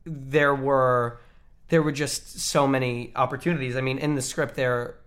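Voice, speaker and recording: A man's voice: medium pitch, fairly even intonation, unhurried, a bit bright. A man, an actor as a podcast guest, telling an anecdote in casual interview talk and acting out quoted exchanges.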